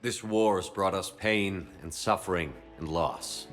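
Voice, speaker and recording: Sober, resolute game commander; lowish pitch, steadfast soldier, clear score underneath. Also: male voice, unhurried, a bit bright, faint music behind. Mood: solemn, inspiring. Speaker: squad commander. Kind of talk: video game cutscene acting.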